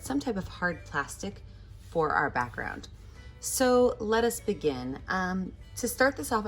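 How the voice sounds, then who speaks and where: A woman art teacher hosting an instructional step-by-step tutorial, addressing viewers in a friendly, direct way. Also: fairly low pitch. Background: background music.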